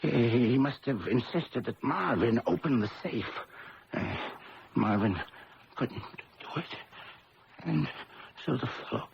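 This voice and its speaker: A man playing a shifty, sardonic twin in a 1970s radio drama, with creaky, theatrical delivery on a muffled, noisy recording. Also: medium pitch, expressive, slow, pauses often. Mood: shaken. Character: shifty, sardonic male twin, short of money.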